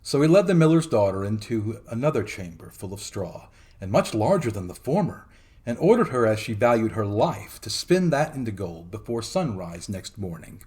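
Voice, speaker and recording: A man narrating a fairy tale as a storytelling host, in a theatrical, expressive dramatic reading. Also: lowish voice, normal speed.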